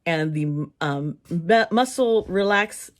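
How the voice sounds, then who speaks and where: A woman with a somewhat low voice talks casually to her viewers, her voice hoarse and worn as if she is losing it. She rambles a little, restarts her sentences and laughs often between thoughts. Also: lively intonation, slow. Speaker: tarot reader and channel host. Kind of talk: casual chat to camera.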